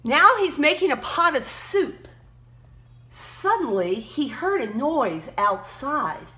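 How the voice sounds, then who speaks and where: Animated, high-voiced woman reading a children's story. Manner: emphatic, pauses to point out words and pictures. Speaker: read-aloud storyteller. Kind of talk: expressive children's story read-aloud with teaching asides.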